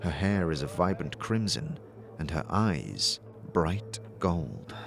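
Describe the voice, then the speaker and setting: A man's voice: low voice, very animated, takes it slowly, gravelly, over music. A man narrating a scripted second-person story for an audio drama.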